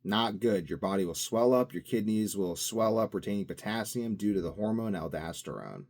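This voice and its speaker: Young dude vlogging, kinda low voice, rambly, loud then mumbly. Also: male voice, animated, moderate pace. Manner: meandering, swings between loud bursts and mumbled asides. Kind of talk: casual rambling monologue.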